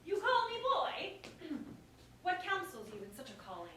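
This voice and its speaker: A woman's voice: very high-pitched, huge pitch swings, measured pace, fairly bright tone, full character voice. A woman playing a witty, defiant young working woman in a staged reading of a verse comedy, with tart, challenging retorts. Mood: indignant. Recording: distant mic, echoey room, clear noise.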